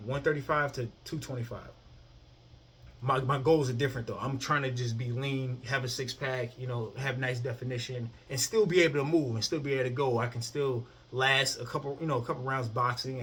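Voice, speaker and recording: Husky-voiced man in his thirties. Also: medium pitch, a bit bright. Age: 30s. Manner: conversational, repeats "you know what I mean". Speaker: fitness vlogger. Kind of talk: casual talk to camera.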